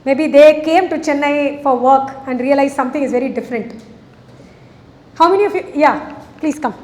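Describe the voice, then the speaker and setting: A middle-aged woman with an Indian English accent speaks in a high voice. She addresses an audience in a conversational way and leads a workplace session. Her voice carries a long reverb from the hall. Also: normal speed, darkish tone, slight background noise. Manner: conversational, asks the audience questions. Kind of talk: interactive talk to an audience.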